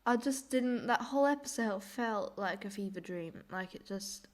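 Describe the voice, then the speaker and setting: A woman reacting casually to clips in a rambling, self-deprecating way, her voice hoarse and giving out from a cold. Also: medium pitch, moderate pace. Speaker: host reacting to cartoon clips.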